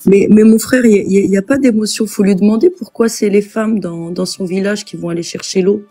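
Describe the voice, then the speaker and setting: A man joining a live debate with quick, pointed challenges. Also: sits high, fairly even intonation, dark tone, faint music behind.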